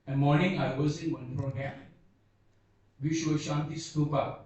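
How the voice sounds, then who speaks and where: A man whose first language is Bhoti gives an unscripted seminar talk, halting and searching for words as he speaks slowly and earnestly. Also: a little high, steady tone, darkish tone. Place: seminar hall. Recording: echoey hall, distant dull mic.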